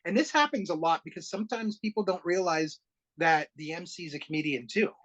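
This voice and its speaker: A man's voice: a little high, fairly quick, a bit bright, highs cut off. Man, middle-aged, Egyptian roots, comedian guest, easygoing, wry, casual podcast talk.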